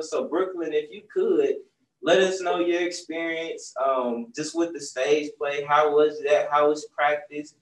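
Young guy telling a story to kids, kinda high voice, keeps saying right?, echoey room far from the mic. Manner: animated storyteller, asks "right?" often. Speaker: children's book author. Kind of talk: casual talk to young audience. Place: bookstore, seated on a stool. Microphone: far camera. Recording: roomy sound from a distant mic.

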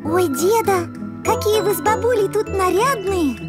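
Super high cute little cartoon creature boy, curious and earnest, lively, music loud behind. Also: measured pace. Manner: earnest, childlike. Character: small friendly fuzzy moon creature boy, curious, innocent. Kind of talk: children's cartoon acting.

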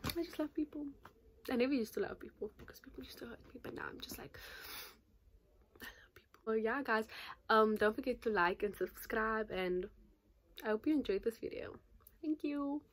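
A nineteen-year-old woman talking casually to camera in a vlog, chatty and animated, with constant fillers, filmed at arm's length in a small bedroom. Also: fairly high pitch, normal speed, long pauses. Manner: chatty, animated, gestures a lot, says "like" constantly. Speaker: YouTuber. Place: small bedroom in front of a patterned curtain. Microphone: phone or camera at arm's length.

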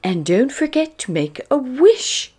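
Lady doing kindly mum pig in a kids story, lilting, encouraging, a bit higher. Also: very animated, unhurried, darkish tone, breathy edge, soft background music, full character voice. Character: kindly grown-up female pig, motherly storybook character.

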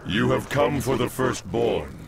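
An actor playing an ancient Roman Praetorian guard in a video game, gravelly, grave and declamatory, speaking in solemn archaic phrases. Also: male voice, mid-range voice, lively intonation, a little slow, a little hiss or hum. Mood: solemn, ominous. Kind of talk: video game cutscene acting.

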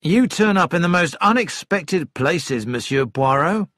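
A man's voice: on the high side, expressive, measured pace, fairly bright tone, dry recording, studio quality, pitched above the performer's own voice. A man voicing a civil, authoritative police inspector in an audiobook, with a businesslike, polite manner. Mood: brisk, businesslike.

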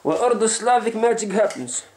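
A man's voice: high voice, lively intonation, moderate pace, a little mellow. A young man playing a cheeky, foul-mouthed Slavic persona with a put-on Russian accent and broken English, rambling casually as he vlogs. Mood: playful. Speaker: vlogger. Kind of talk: casual comedic vlog. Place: small home kitchen indoors. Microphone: handheld camera at arm's length. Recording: noisy handheld camera with clicks.

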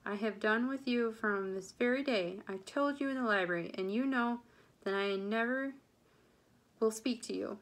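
A woman's voice: fairly high pitch, moderate pace, natural voice. A woman reading a storybook part as a querulous, self-pitying middle-aged mother, complaining with insistent emphasis. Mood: scolding, self-pitying.

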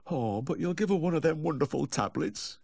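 Shrill, maudlin drunken Yorkshire countryman. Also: very animated, mellow, dry recording, studio quality. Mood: pleading. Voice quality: slurred, whining. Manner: pleading, sentimental, drawn-out wailing. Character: maudlin, tearful, drunken little dog-loving countryman. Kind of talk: audiobook character voice.

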